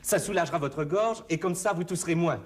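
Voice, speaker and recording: Guy as a fussy pharmacist, high voice, patient but kinda exasperated. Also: brisk, noisy background, natural voice. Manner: patient, instructive, mildly exasperated. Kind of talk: scripted comedy language sketch.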